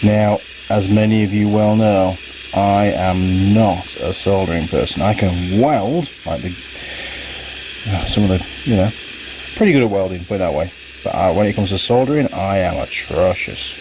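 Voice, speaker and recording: A man with a husky voice giving casual hands-on workshop commentary, muttering to himself and his viewers as he works. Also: deep voice, normal speed, background noise. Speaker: vlogger, hobby mechanic.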